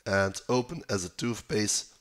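A young man with a raspy voice, thinking aloud and muttering as he works through a crossword in a small room, with keyboard clicks behind him. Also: fairly low pitch, expressive, a little slow. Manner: mutters, hesitates, trails off while thinking. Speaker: puzzle video host. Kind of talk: casual think-aloud while solving a crossword.

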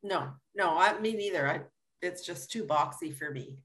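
A woman's voice: lowish voice, normal speed, a bit bright. A middle-aged woman from Toronto interviewing a guest over a video call, asking short friendly questions. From Toronto, Canada. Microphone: webcam on video call.

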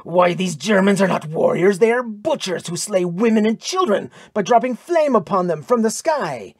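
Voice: medium pitch, a little slow, breathy edge, no echo, studio quality. A narrator voicing a raging male Norse warrior who speaks in blunt, indignant exclamations, in an audiobook adventure. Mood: outraged.

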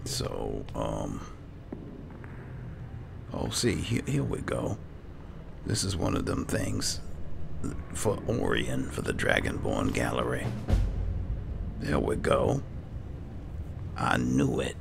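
A man with an extremely low voice, thick with gravelly fry, narrates his video game role-play casually. He takes his time, musing to himself, over clearly audible background noise. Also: big pitch swings, slow pace, long pauses. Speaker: gaming host, role-playing as his character. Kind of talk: casual in-character game playthrough commentary.

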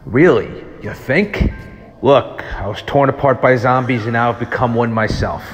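An actor playing a sarcastic zombie in a comic horror audio drama. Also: male voice, medium pitch, moderate pace, dull audio, soft background music, lightly acted. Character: sarcastic male zombie, former commander.

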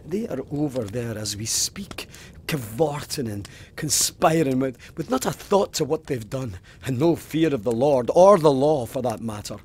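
An actor playing a stern, pious Scottish police sergeant in an audio drama, officious, pompous and indignant. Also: male voice, medium pitch, animated, moderate pace, hardly any pauses, a little mellow, husky. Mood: righteously indignant. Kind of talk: full-cast audio drama acting.

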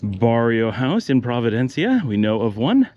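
A man's voice: mid-range voice, moderate pace, muffled recording. A middle-aged man casually narrating a walking tour, pointing out houses and shops as he strolls outdoors on neighborhood streets. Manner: relaxed, pointing things out as he walks. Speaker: vlogger giving a walking tour.

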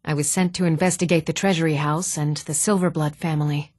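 A woman playing poised, articulate townswomen and noblewomen in a fantasy video game, with measured, formal delivery. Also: sits low, fairly even intonation, darkish tone, husky, no echo, studio quality. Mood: confiding. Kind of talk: scripted fantasy game dialogue.

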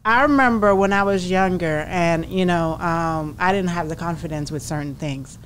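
A woman's voice: on the low side, normal speed, rough recording. Woman co-hosting over noticeable room noise. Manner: encouraging, explains with quoted examples. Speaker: podcast co-host. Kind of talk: casual conversational interview. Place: small podcast studio indoors. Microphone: studio microphone with headphones. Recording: clear background room noise.

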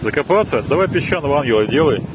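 A man's voice: mid-range voice, lively intonation, rapid-fire. A man vlogging casually from behind a handheld camera on a windy beach, commenting on what he films. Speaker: family vlogger filming. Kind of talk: casual chat, commentary while filming. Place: windy open sea beach. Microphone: handheld camera or phone, speaking from behind it. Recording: loud wind and surf noise.

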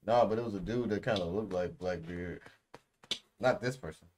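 A young man with a fairly low voice and an American accent co-hosts a reaction video. He talks in a casual, slangy way. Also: normal speed, darkish tone, lo-fi sound, a little hiss or hum. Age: young adult. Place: small indoor room.

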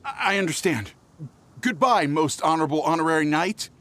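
A man's voice: fairly high pitch, lively intonation, fairly quick, fairly bright tone, slight background noise. A man voicing a cowardly young swindler in a video game, stammering and grovelling nervously. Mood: pleading, grovelling. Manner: stammering, pleading. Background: game music.

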